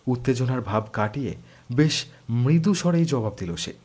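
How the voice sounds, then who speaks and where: Male narrator, medium pitch, dramatic scripted storytelling for audio drama. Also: animated, moderate pace, darkish tone.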